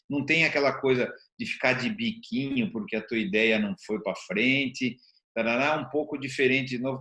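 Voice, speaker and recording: A Brazilian man in a casual interview conversation, speaking in loose, halting phrases with colloquial asides. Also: medium pitch, a bit bright.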